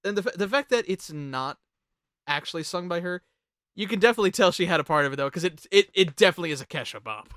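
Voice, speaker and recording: Casual host, mid-pitched and very bright, rambling and sweary, dry close sound. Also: lively intonation, fast, dry recording. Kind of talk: casual group chat, ranking songs.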